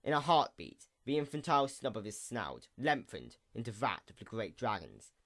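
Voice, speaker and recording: Man narrating with very animated, swooping pitch. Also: normal speed. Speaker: audiobook-style fan fiction narrator. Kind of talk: dramatic scripted story narration.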